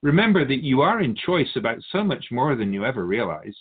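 Man as a wise spirit guide, lively intonation. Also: mid-range voice, breathy edge, narrow, tinny audio. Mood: gentle, encouraging. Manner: measured, didactic, philosophical. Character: wise, patient spirit Keeper of souls, gently chiding. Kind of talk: spiritual teaching in dialogue.

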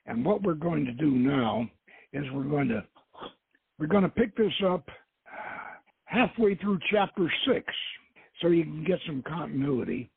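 A man with a gravelly, raspy voice hosting a radio show, reading aloud and adding halting, deliberate asides with dramatic flourishes. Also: fairly high pitch, lively intonation. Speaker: radio show host and author. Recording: tinny phone-like line with echo.

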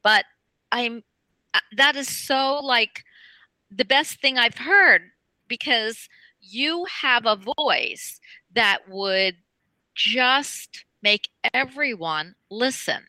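Female talk host, scratchy voice, crisp dry recording. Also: fairly high pitch, lively intonation, drawn-out words, sharp tone, no echo. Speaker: radio show host. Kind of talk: enthusiastic chat.

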